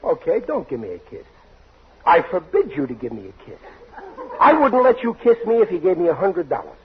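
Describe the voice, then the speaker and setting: Sentimental blustering father, high voice, exclamatory delivery, 1940s radio comedy, dull sound with heavy noise. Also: huge pitch swings, a little fast. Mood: pleading, sulky. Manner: emotional, exclamatory, sputtering. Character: blustering, sentimental working-class father and husband. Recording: noisy, muffled 1940s radio recording.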